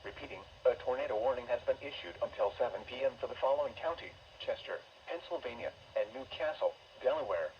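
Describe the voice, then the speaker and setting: A man's voice: medium pitch, animated, quick pace. A synthesized man's voice reading formal emergency weather warnings in a stilted, scripted way as an automated radio announcer. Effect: synthesized voice over weather radio. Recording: dull, noisy weather radio broadcast.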